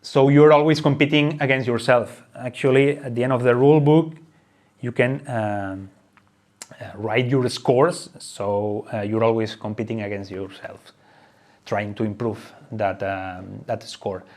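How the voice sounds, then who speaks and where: A man's voice: medium pitch, steady tone, a little slow, a little mellow. A man presenting an unscripted how-to explanation of a game. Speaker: presenter of a board game tutorial.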